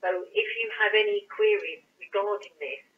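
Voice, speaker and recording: A woman's voice: medium pitch, normal speed, slight background noise. Hesitant woman on tinny phone line. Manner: hesitant, stammering, careful. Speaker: court office call handler. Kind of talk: explanatory phone conversation. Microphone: telephone. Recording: tinny, distant telephone line.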